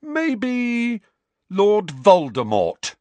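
A defiant teenage schoolboy in a storybook, performed by a male narrator. He speaks loudly and challengingly, pitched up and very animated. His temper is hot, with a sarcastic edge. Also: very slow, dry recording, heavily acted. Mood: mock thoughtful. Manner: loud, challenging.

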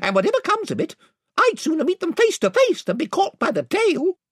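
A man's voice: extremely high pitch, wildly swooping pitch, a little fast, dry recording, clean studio sound, voice pushed up, exaggerated voice. A man voicing a gallant, haughty talking mouse warrior in a children's storybook, with a formal, chivalrous manner. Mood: proud, defiant.

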